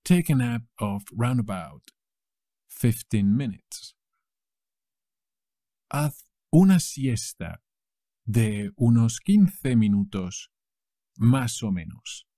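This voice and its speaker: A man from Spain with a Castilian Spanish accent, teaching a language lesson, saying each phrase slowly and repeating it with variants. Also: medium pitch, animated, mellow, dry recording, studio quality.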